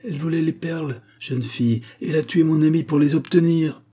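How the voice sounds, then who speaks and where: A man voicing a haughty, vindictive woman in a story, sharp and indignant, quick to accuse. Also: on the high side, slight background noise, voice pushed up, close to an everyday voice. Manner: sharp, indignant, hard-toned.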